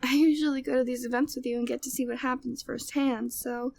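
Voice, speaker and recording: A woman's voice: sits high, fairly even intonation, brisk, creaky, a little mellow, no room sound. A woman playing a flirty, devoted, gossipy maid at a manor in an ASMR audio drama, speaking affectionately and teasingly in formal period wording. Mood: curious, playful.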